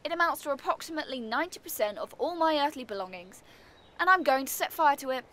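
A woman's voice: high voice, expressive, quick pace, fairly bright tone. A woman with a British accent playing a sardonic, defiant neighbour in an audio comedy play, brusque and sarcastic.

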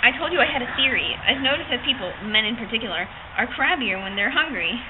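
A young woman with a fairly high voice reads a story aloud theatrically, prone to giggling. The sound is thin and phone-like, with clear outdoor noise around her. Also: expressive, fast talker. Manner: theatrical, often breaking into giggles. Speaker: amateur reader. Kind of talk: dramatic read-aloud of a novel, giggly and informal. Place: open-sided park picnic shelter outdoors. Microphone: camcorder across a picnic table. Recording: tinny phone-like audio, noisy outdoor picnic shelter.

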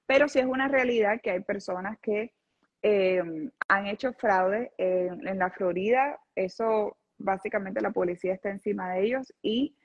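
Earnest breathy woman lawyer on a call. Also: medium pitch, moderate pace. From lived in Venezuela, not born there. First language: Spanish. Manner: earnest, explanatory, many restarts. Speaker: personal injury lawyer, guest. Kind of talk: explaining legal cases in an interview. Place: office with a city window behind. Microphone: video call. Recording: dull lo-fi video call audio.

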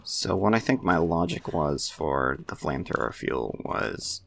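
A man giving casual, offhand gameplay commentary in a low-key way. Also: animated, a little slow, creaky, a bit bright. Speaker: gamer, walkthrough commentator.

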